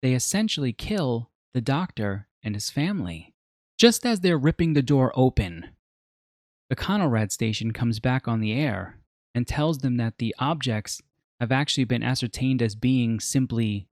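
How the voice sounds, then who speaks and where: A man's voice: mid-range voice, lively intonation, moderate pace, dry recording, clean studio sound. A man narrating a scripted video essay as a media reviewer, telling the story in a dramatic, reflective way.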